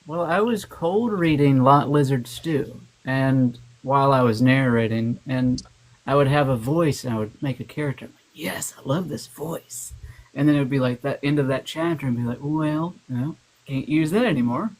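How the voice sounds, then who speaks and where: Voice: medium pitch, moderate pace, rough recording. An audiobook narrator guest telling rambling, laughing anecdotes about recording work in a casual chat. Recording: faint hiss.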